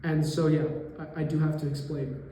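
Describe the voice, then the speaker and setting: A man's voice: a little high, level tone, warm tone, slight background noise. Young man answering in an echoey room. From New York area, US. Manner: thoughtful, pauses to search for words. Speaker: interviewee, college student. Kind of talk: casual interview answers. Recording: echoey room with long reverb.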